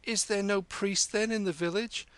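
A man's voice: sits high, fairly even intonation, moderate pace, a bit bright, clean tone, no echo, voice pushed up. A man reading aloud, voicing a clever thirteen-year-old street boy in a raised sing-song manner. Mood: shrewd.

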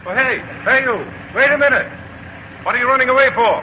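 An actor playing a brisk, impatient American newspaperman in a 1940s radio drama. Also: very high-pitched, a little hiss or hum. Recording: muffled 1940s radio recording.

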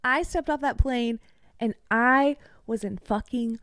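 A woman's voice: a little high, measured pace, a bit bright, no echo, clean studio sound. Fired-up, swearing young woman on a podcast. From East Tennessee, US. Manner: heated, profanity-laced rants. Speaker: podcast guest. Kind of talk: casual podcast conversation.

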